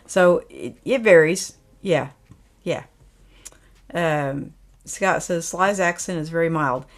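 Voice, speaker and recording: Slow, low-voiced older woman. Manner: folksy, rambling, with frequent fillers. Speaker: livestream host. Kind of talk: casual chat reading out viewer comments.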